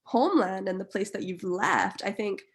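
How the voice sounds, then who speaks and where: A woman's voice: medium pitch, moderate pace. A young woman from Barbados, a writer on a panel, speaking thoughtfully with hedges and restarts over a video call from a room at home. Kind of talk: casual panel conversation.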